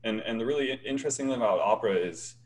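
A young man with a light, easygoing voice chats on a video call. He talks with animated swings in tone, laughs often and stumbles through restarts. It sounds like a small room at home. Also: fairly low pitch, racing, rough recording. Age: young adult. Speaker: choir bass singer, guest. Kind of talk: casual video-call interview.

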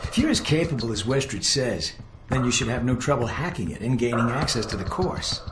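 An actor playing a stern, sceptical older intelligence instructor in a video game, with a brusque, dry, formal manner. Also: male voice, mid-range voice, sing-song, a little fast, noisy background, natural voice. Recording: echoey room with music behind.

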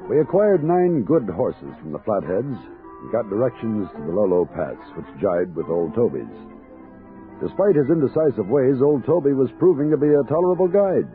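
An old, narrow-band radio drama has a man playing a plain-spoken expedition captain. He speaks with informal authority and a lively, swinging pitch. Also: normal speed, lightly acted. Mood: narrating. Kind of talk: 1960s radio drama acting. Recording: band-limited old radio, music behind.

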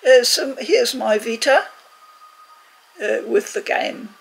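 Older woman, creaky voice, higher-pitched, reflective, conversational reviewer, careful wording. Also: poor audio. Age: 60s or older. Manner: measured, reflective, choosing words carefully. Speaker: game reviewer, video host. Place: living room, indoors.

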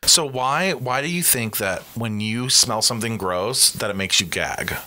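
A man's voice: mid-range voice, lively intonation, unhurried, sharp tone. A man co-hosting a casual comedy podcast, chatting in a crude, dramatic way. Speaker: podcast co-host. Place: small home podcast studio. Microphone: close boom microphone with headset.

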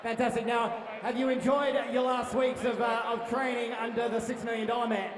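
Booming ring announcer, high voice. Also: male voice, level tone, a little fast. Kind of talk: ring announcing and post-fight interview. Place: large ballroom boxing event. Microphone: handheld mic. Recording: echoey hall with crowd noise.

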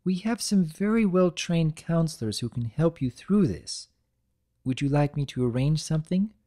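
A man voicing a professional female nurse pony, gentle and formal, in a dramatic reading of a cartoon story. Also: a little high, animated, moderate pace, voice pushed up, lightly acted. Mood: sympathetic.

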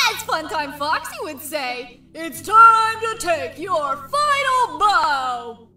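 A woman's voice: very high-pitched, wildly swooping pitch, extremely slow, full character voice. A woman voicing a taunting, gleefully sinister female animatronic fox in theatrical, sing-song villain lines. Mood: sly menace. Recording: roomy, distant sound under loud music. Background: loud music.

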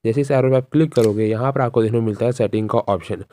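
A man's voice: mid-range voice, fast talker, mellow, no echo. A young man narrating a casual step-by-step phone tutorial, repeating instructions as he addresses viewers directly. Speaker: tutorial host.

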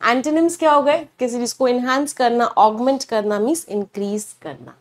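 A woman's voice: fairly high pitch, moderate pace. A young woman teaching vocabulary in a studio lesson, explaining in mixed Hindi and English and answering her own questions. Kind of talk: online exam-prep lesson. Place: studio, standing before a large screen.

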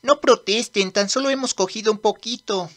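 A woman's voice: fairly high pitch, moderate pace, lightly acted. A woman voicing a cocky young demon prince in a manga dub, brash and commanding. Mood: cool, cocky. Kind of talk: Spanish manga dub acting.

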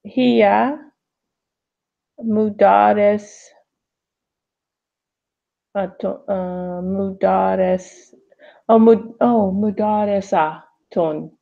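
A woman's voice: mid-range voice, drawn-out words, vocal fry, pauses often. A woman practising a new language in a lesson, hesitantly sounding out words and translating them. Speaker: language student. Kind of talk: halting read-aloud practice and translation in a lesson. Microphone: online call. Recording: dull, lo-fi online call audio.

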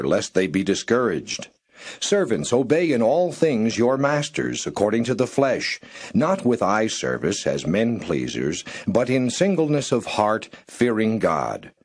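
A man reading Bible verses aloud in a slow, measured way, pausing between phrases. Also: mid-range voice, a little mellow, breathy edge. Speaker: scripture reader.

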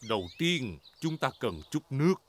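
A man's voice: medium pitch, very animated, moderate pace, heavy background noise, natural voice. A man playing a jolly, easygoing big daddy pig in a children's cartoon dub, hearty and fatherly. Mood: cheerful, confident. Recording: music behind the voice.

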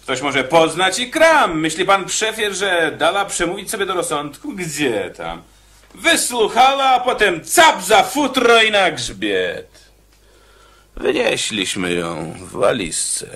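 Lively, slow-talking condemned killer. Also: male voice, sits high, full character voice. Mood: defensive, boastful. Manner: chatty, wry, casual about death. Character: cocky, cheerful condemned male prisoner, a killer. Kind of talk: radio play acting.

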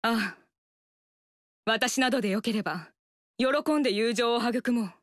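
A woman's voice: fairly high pitch, normal speed, fairly bright tone, dry recording, studio quality, lightly acted. An actress voicing a stern, earnest young woman warrior in a video game, speaking in a formal, stiff manner. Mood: flustered earnest. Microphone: studio mic, dry.

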